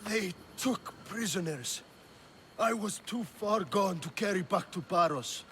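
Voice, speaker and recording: A man's voice: sits high, animated, unhurried, fairly bright tone, a little hiss or hum. A man playing a weak, bitter wounded Greek sailor in a dramatic video game. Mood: pained, bitter.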